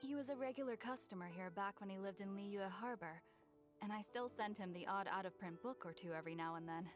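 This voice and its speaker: A businesslike bookshop keeper from a video game who explains things plainly, as an actress voices her. Her voice sits in an ordinary middle pitch. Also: a little fast, faint music behind, natural voice. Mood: informative. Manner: matter-of-fact and informative. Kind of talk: game voice acting.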